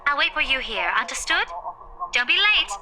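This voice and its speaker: A woman's voice: high voice, very animated, normal speed, background noise. An actress voicing a breezy, mildly exasperated young woman, the friend in a visual novel game. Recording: music behind the voice.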